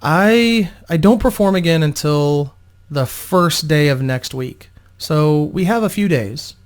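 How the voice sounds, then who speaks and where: A man's voice: a little high, lively intonation, slow. A man voicing an anxious young female stage performer in a fantasy story, fretful and distraught. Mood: shaken. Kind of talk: dramatic fantasy story reading.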